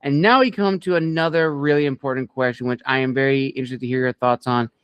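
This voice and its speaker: A man hosting an interview, framing his questions in a polite, deliberate and wordy way. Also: medium pitch, steady tone, a bit bright, clear, resonant tone, no echo, dull audio. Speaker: interviewer, show host.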